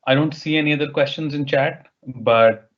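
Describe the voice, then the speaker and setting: A man's voice: on the high side, moderate pace. A man hosting an online session, politely and appreciatively relaying questions in a conversational way. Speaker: webinar host and moderator. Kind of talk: conversational moderating, asking questions. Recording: slightly dull online-meeting audio.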